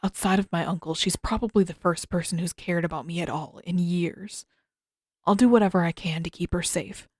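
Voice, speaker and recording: A woman's voice: fairly even intonation, brisk, darkish tone, dry recording, clean studio sound, close to an everyday voice. A woman voicing a surly, guarded but earnest teenage prince in a terse audiobook character voice. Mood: solemn. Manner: terse, irritated, low-key.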